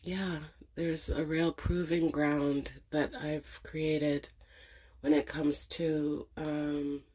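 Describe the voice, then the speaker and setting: A middle-aged woman with a cold and a congested voice, hosting a casual reflective monologue, talking slowly with many hesitations. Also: sits low, little pitch movement, a little mellow. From Canada. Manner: slow, hesitant, many ums and pauses. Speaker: channel host.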